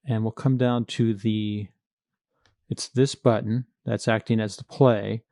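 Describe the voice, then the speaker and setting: A man's voice: fairly low pitch, flat delivery, a little slow, gravelly, dark tone, no echo, clean studio sound. A man narrating a step-by-step coding tutorial, informally thinking aloud as he works. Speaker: programming tutorial instructor.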